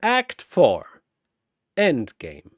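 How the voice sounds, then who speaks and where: A man narrates a battle story with theatrical emphasis. His pitch swings widely while the pace stays slow and deliberate, in a very dry recording. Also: wildly swooping pitch, dry recording. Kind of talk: dramatic scripted battle narration.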